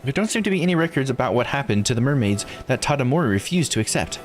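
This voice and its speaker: A man's voice: mid-range voice, sing-song, quick pace, over music, voice pushed up, natural voice. A man voicing a polite, knowledgeable researcher in a visual novel, explaining things at length. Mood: helpful, musing. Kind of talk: character voice reading game dialogue.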